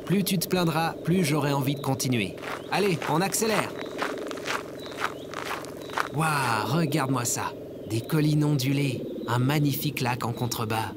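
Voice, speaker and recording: A man playing an upbeat, excitable lone survivor in comedic audio fiction, with an enthusiastic, exclamatory manner. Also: medium pitch, a little slow, long pauses, lightly acted. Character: upbeat, excitable male last survivor on Earth. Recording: noticeable background noise.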